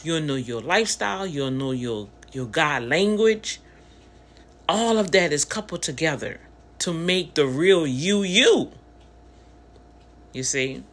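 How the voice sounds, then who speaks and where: A woman's voice: low-pitched, expressive, slow, pauses often. A woman in her fifties giving a casual motivational talk, conversational and preachy, speaking straight to her listeners. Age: 50s.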